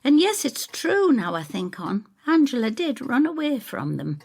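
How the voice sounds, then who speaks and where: A woman performs an older female neighbour for an audio story, pitched high and lively in range. She is anxious and bitter, plaintive and demanding, with a husky edge. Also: normal speed, full character voice. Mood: wistful.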